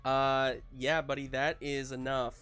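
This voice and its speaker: Man, game master, casual tabletop narration, halting phrasing, mid-pitched voice, music playing behind. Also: level tone, fairly bright tone, clear, resonant tone.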